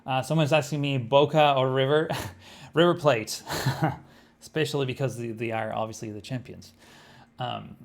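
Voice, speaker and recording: Man, Argentine accent, native Spanish speaker, gravelly voice, easygoing stream chatter, lots of ums and uhs. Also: medium pitch, normal speed. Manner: relaxed, thinking aloud, many fillers. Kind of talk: live-streamed gameplay chat.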